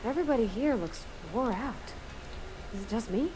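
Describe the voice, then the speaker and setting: An actress playing a sweet young frontier companion in a video game, with a folksy, tentative way of talking. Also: female voice, a little high, lively intonation, moderate pace, close to an everyday voice. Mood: musing. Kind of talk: video game character dialogue. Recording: game audio with clear background noise.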